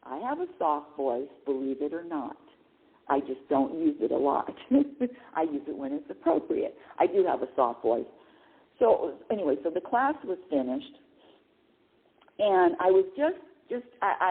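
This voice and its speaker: A middle-aged woman hosts a spiritual talk show, her voice swinging widely in pitch as she talks. She often restarts and repeats words and laughs between thoughts. The sound is narrow and phone-like. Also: sing-song, normal speed. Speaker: radio show host. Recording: phone-like lo-fi line.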